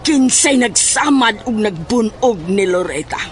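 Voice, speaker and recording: A woman playing a stern, probing questioner in a horror audio drama, speaking deliberately and insistently. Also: a little high, expressive, a little hiss or hum. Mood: menacing, insistent. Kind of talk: Filipino audio drama acting.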